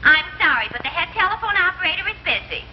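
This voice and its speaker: An actress playing a sarcastic, wisecracking telephone operator, sardonic and unhelpful, in a 1950s comedy show. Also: female voice, extremely high pitch, big pitch swings, fast. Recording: muffled, very noisy old broadcast.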